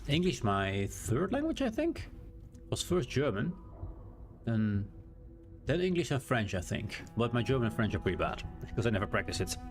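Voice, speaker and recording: Dutch guy streaming a game, dutch accent, reads the screen text out loud, pitch bounces all over, game music behind him. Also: wildly swooping pitch, moderate pace. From Netherlands. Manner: chatty, reads game text aloud, thinks out loud with restarts. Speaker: gaming streamer. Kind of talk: live gameplay commentary.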